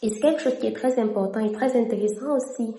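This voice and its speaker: A woman's voice: fairly high pitch, quick pace, dark tone. A young woman from Cameroon, a French native speaker, telling her story to camera in a casual vlog and slipping into English mid-sentence. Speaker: vlogger and interpreter. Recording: echoey room.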